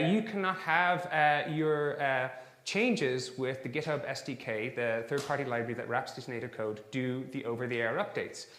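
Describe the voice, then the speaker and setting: A man's voice: fairly high pitch, a bit bright. Irish man giving a conference talk. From Ireland. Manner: fillers between phrases, upbeat, clear explanations. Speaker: conference speaker. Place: conference hall. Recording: echoey conference hall.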